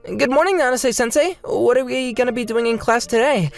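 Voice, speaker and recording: A man voicing an eager, earnest young schoolboy in an excitable, wide-eyed way for a comic dub. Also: very high voice, fairly quick, gravelly, voice pushed up. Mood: excited. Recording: music bed behind the voice.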